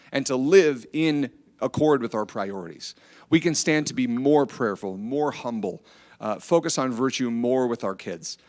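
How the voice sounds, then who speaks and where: A middle-aged man with an American accent speaks to a group in an informal, motivational way. His voice sits at an average pitch. He tells stories conversationally and keeps inviting agreement with short tag questions. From Iowa, United States. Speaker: speaker at a church family event. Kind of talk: informal motivational talk with storytelling. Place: church, speaking to a gathered group.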